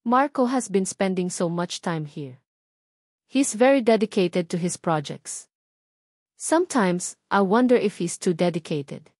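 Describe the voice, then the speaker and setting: A woman's voice: mid-range voice, animated, measured pace, a little mellow, no echo, clean studio sound. A woman voicing an elegant, cold rich wife who is falsely polite, probing and condescending in a story narration. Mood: coolly polite.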